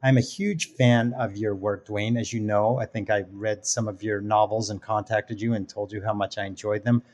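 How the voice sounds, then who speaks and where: A man's voice: on the low side, level tone, moderate pace, darkish tone. A man hosting a podcast, introducing a guest and putting questions to him. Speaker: podcast host.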